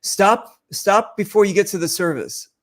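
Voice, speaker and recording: A man's voice: high-pitched, moderate pace. A man hosting a casual conversational interview, joking and often interjecting. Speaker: interview host.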